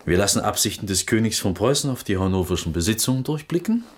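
An actor playing a sly, self-important Prussian court minister in a radio play, with formal, insinuating diction. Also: male voice, lowish voice, sing-song, fast. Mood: smug, scheming. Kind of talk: German radio play acting.

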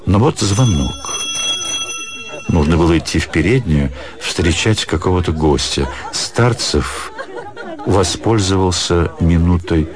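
A man's voice: low voice, animated, unhurried, crowd noise. A man narrating a classic literary story as an actor in a radio production.

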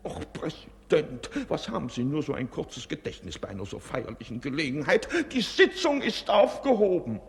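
Pompous muddled king, creaky, cavernous hall. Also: fairly high pitch, very animated, moderate pace, hardly any pauses. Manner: pompous, confused, forgetful. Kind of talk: 1950s German radio play acting. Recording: 1950s radio recording, long hall reverb.

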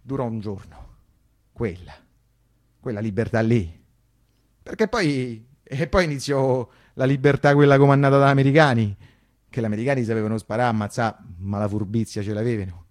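A man's voice: medium pitch, normal speed, a little mellow, natural voice. An actor playing a fervent, unschooled working-class man from Rome in a radio theatre monologue, telling his wartime memories in emphatic, colloquial dialect with a Roman accent. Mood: grave. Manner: colloquial, emphatic, repetitive folk storytelling. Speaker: solo stage narrator and actor.

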